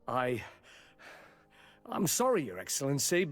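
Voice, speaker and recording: An actor playing a deferential, uneasy palace bodyguard in a video game, speaking haltingly and respectfully. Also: male voice, medium pitch, wildly swooping pitch. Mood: uneasy, apologetic. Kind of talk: dramatic video game cutscene acting.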